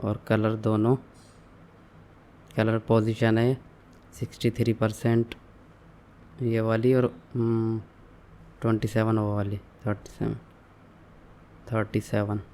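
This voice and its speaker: A young man calmly walking viewers through an online store setup, step by step, mixing English terms into his explanations. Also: on the low side, slow pace, long pauses, slight background noise. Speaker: online tutorial instructor. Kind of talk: screen-share software walkthrough.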